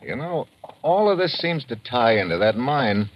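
An actor playing a brisk, tough, wry American investigator in an old radio drama. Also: male voice, mid-range voice, sing-song, a little hiss or hum, lightly acted. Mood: probing, urgent. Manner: brisk, informal, clipped. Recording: muffled old radio recording, loud music.

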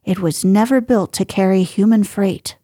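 A woman's voice: mid-range voice, normal speed, warm tone, slightly rough, no echo, clean studio sound, lightly acted. A woman voicing a tired, scolding older housekeeper who fusses in exasperation. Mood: exasperated. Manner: exasperated, fussing.

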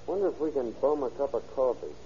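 Actor as a mellow, dark-voiced keen policeman. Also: medium pitch, expressive, quick pace, natural voice. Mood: wry, cautious. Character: keen, daring policeman disguised as a tramp. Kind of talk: old-time radio crime drama acting. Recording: dull, hissy old radio recording.

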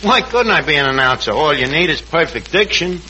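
A cocky new york tavern manager, high sharp voice, mangles grammar, old noisy radio show. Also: male voice, animated, fairly quick. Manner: pompous malapropisms and slangy grammar. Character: brash, scheming tavern manager who mangles his words. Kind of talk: 1940s radio comedy acting.

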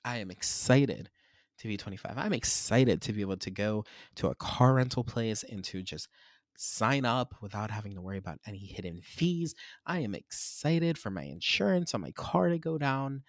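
A man's voice: lowish voice, animated, normal speed, dry recording. A man, hosting a solo podcast and reflecting in a candid, rambling, self-searching way. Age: 20s. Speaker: podcast host.

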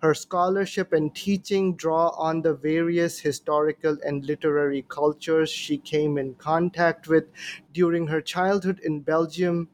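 A man with a fairly high voice speaks as a podcast host. His delivery is formal and courteous, like a prepared introduction. There is some faint noise behind him. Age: adult, professor. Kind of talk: scripted introduction and interview hosting. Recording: noticeable background noise.

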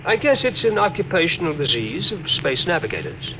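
An animated, wry ship's doctor. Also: male voice, fairly high pitch, fairly quick. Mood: concerned. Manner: wry, emphatic, theatrical. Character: wry, worried ship's doctor, male, argumentative. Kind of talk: old-time radio drama acting. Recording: noisy vintage radio drama recording.

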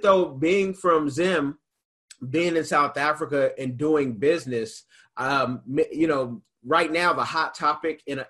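A man's voice: medium pitch, fairly bright tone. A man with an American accent hosting a casual interview, asking long, rambling questions in a conversational way. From United States. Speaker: interview host.

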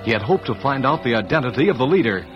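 Male narrator, medium pitch, dramatic scripted delivery, muffled vintage radio, prominent music. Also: expressive, fast talker.

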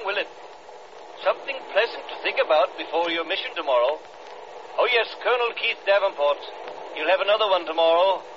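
A man acts out a smug male enemy propagandist of a wartime radio drama. His voice is notably high, and he taunts with mock politeness. The old recording is muffled and clearly noisy. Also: lightly acted. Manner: mockingly polite, insinuating. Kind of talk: 1940s-50s radio drama acting.

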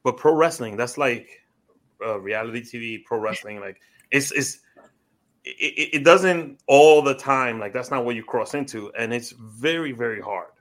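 An upbeat man hosting a podcast, asking questions with plenty of enthusiasm. He keeps the talk moving with quick affirmations. His voice sits at a mid pitch. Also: a bit bright. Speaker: podcast host. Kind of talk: casual interview chat.

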